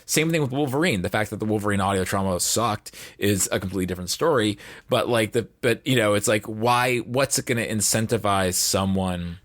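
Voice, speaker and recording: A man speaking as an audio drama producer in a casual podcast discussion, often restarting his phrases in a halting way. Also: lowish voice, a bit bright, dry recording, clean studio sound.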